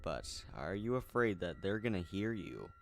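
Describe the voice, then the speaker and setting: A man voicing a calm, professional female police emergency dispatcher who asks clear, reassuring questions. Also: low-pitched, normal speed, full character voice. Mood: reassuring, probing. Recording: music behind the voice.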